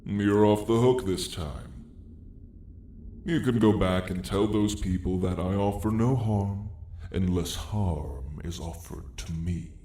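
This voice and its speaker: A man voicing a haughty, sardonic dragon in a slow, condescending, theatrical drawl for an ASMR roleplay story. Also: deep voice, dark tone, echoey room. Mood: haughty, warning. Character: haughty, sardonic dragon, apex predator of a mountain.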